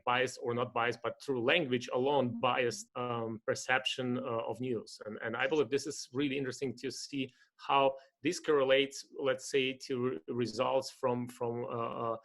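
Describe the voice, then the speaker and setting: A man's voice: mid-range voice, a bit bright. A man in his forties speaking as a panelist on a video call from home, rambling with many fillers and restarts. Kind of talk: academic panel discussion. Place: home room with bookshelf, indoors. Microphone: laptop webcam video call.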